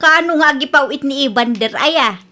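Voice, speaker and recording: A woman's voice: sits high, moderate pace, bright, forceful tone. A woman playing a greedy, gambling older mother in a comedy radio drama, blunt, nagging and insistent. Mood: demanding.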